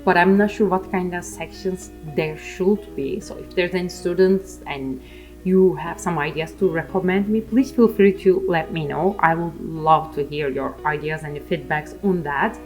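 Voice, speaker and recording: A woman's voice: on the low side, moderate pace, darkish tone. A young woman with a Turkish accent, chatting casually and self-mockingly over her art vlog. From Turkey. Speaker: art vlogger. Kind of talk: casual voice-over chat while drawing. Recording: faint music underneath.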